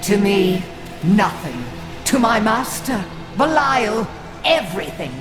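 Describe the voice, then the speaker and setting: Sneering witch, commanding threats, slow, high-ish, echoey. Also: very animated. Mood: scornful. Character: cruel scheming witch, servant of a demon. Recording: echoey with loud game music.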